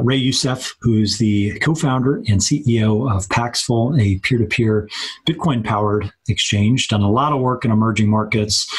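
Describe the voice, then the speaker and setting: A man hosting an interview podcast, reading intros and putting questions in a halting way as he searches for words. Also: medium pitch. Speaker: podcast host.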